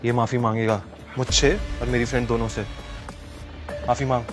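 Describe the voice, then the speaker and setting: A man playing a proud young man on a date, protective and quick to anger, in a Hindi audio drama. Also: mid-range voice, little pitch movement, loud music behind the voice, lightly acted. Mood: indignant.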